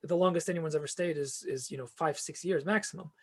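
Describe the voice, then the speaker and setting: A man's voice: fairly high pitch, quick pace, husky. A man being interviewed as a guest, answering in long, earnest explanations that build with restarts. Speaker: guest, fantasy author and choir conductor. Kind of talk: reflective podcast interview answers.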